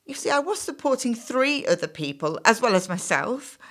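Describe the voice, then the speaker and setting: Flustered, defensive woman job applicant played by an actress, very lively pitch. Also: normal speed. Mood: pleading. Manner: flustered, hesitant, apologetic, self-justifying. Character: anxious, defensive female job applicant in her mid-thirties. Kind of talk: stage play dialogue.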